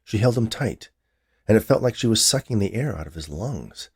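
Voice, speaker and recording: Husky man narrating with lively intonation. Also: on the low side, normal speed. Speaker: writer reading own story. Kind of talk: short story narration.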